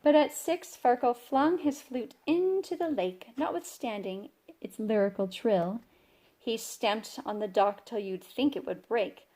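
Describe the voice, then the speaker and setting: A woman reading a rhyming children's picture book aloud in an expressive, sing-song way. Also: a little high, a little slow. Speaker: storybook reader.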